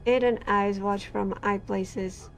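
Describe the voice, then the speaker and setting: A woman from Portugal, a gaming creator reading game text aloud and chatting in a halting way, full of fillers and restarts. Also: mid-range voice, fairly even intonation, faint music behind, poor audio.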